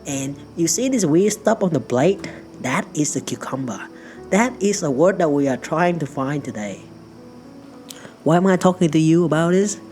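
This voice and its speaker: Playful narrator, native Vietnamese speaker, soft music. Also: mid-range voice. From Perth, Australia. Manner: playful, self-mocking, laughs often. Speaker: healthcare worker. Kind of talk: casual storytelling narration.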